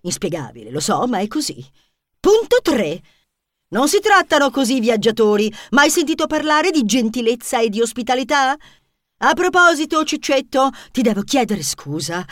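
A woman voicing a dramatic, bossy young woman who swings from loud declarations to hushed asides. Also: on the high side, no echo, clean studio sound.

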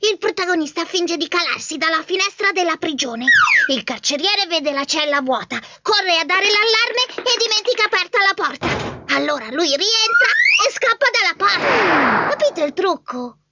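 A little mouse nephew , played by an adult actor, who talks in a very high, childlike, eager voice. The recording is dull and lo-fi, with clear noise underneath. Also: animated, moderate pace. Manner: stammering, eager. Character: small, earnest young mouse nephew.

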